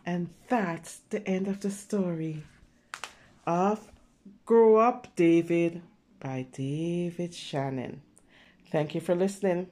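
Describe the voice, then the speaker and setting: A woman reading a picture book aloud with simple, expressive commentary for young children, exclaiming and checking in with her listeners. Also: lowish voice, slow, pauses often. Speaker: children's story reader.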